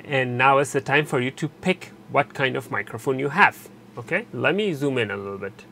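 Male tutorial narrator, average pitch, halting explanatory delivery, recorded with light background noise. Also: creaky. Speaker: technician giving a tutorial. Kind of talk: unscripted step-by-step instructional narration. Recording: steady background noise, room ambience.

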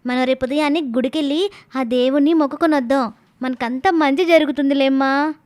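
A woman's voice: high voice, fairly quick, a little mellow, natural voice. A woman voicing an eager, cheeky young village daughter with a childlike sing-song way of talking, in a story cartoon. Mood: eager, pleading. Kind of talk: animated moral story dialogue.

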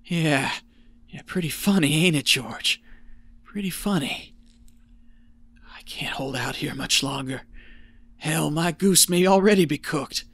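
A man acting a slow, weary desert treasure hunter. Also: fairly high pitch, fairly bright tone. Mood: bitter, rambling. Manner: folksy, muttering to himself, slow halting delivery. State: exhausted, breathy. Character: weary, rambling male treasure hunter losing his wits in the desert. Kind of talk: audio drama monologue.

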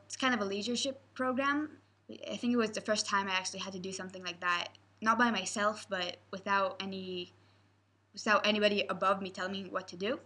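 A woman's voice: mid-range voice, pushed and bright. A teenage girl, a youth leader at a camp, answering interview questions candidly and a bit playfully. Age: teens. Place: indoor room with posters.

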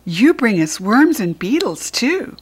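A woman's voice: a little high, big pitch swings, slow, husky, voice pushed up, full character voice. A woman with an American accent voicing sweet, eager young animals in a picture book, earnest and singsong. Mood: eager, proud.

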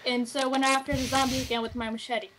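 Woman player, noisy recording, higher voice. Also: flat delivery, quick pace. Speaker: role-play player. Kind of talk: casual game chat.

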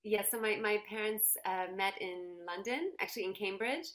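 A woman as an interview guest on a video call. She explains things in a friendly, conversational way, with a middle-pitched voice. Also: moderate pace, a loud crowd. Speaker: interview guest, dance teacher. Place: room at home, video call.

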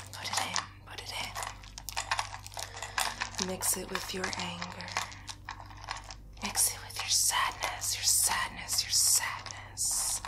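A young woman acts out a spiteful witch at her spellwork. The voice is a breathy whisper, close and soothing, with a vengeful edge. Phrases come slowly and repeat like an incantation, in the style of an ASMR fantasy roleplay. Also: medium pitch, bright, forceful tone. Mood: bitter, hateful. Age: young adult. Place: bedroom, indoors.

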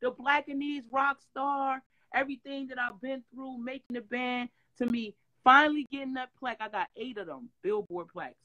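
Rough-voiced woman, animated. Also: sits high, normal speed, few pauses, lo-fi sound. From Detroit. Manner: animated storytelling, loud swings, laughs. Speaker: interview guest, former reality-show singer. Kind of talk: casual chat. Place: home room with green wall backdrop, video call.

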